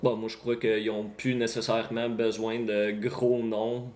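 A man whose first language is English, speaking French with an English accent, calmly and articulately explaining his views in a casual chat. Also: lowish voice, a little slow. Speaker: battle rapper guest. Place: small indoor room at a table. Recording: echoey room.

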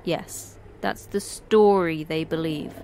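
A woman's voice: low voice, fairly even intonation, slow pace, mellow, a little hiss or hum. A woman playing a terse, cynical station manager, curt and sarcastic, in a sci-fi horror audio drama. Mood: sarcastic, weary.

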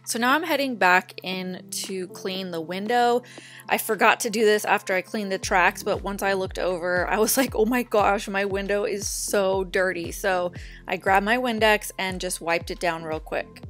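A young woman narrating her own home video in a chatty, relaxed voice-over, talking straight to her viewers. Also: mid-range voice, moderate pace. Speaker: vlogger. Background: faint background music.

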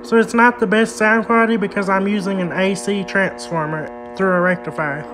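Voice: medium pitch, fairly even intonation, a little fast, music in the background, rough recording. A hobbyist host giving a hands-on repair demonstration, explaining each step in a plain way. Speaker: vintage radio repair hobbyist and video host.

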